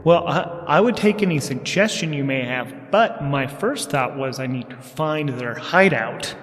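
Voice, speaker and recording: A man's voice: a little high, expressive, a little slow, a bit bright, long reverb tail. A man playing an earnest, forgetful bounty hunter hero in a tabletop game audio drama, with a hesitant manner. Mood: casual, cooperative.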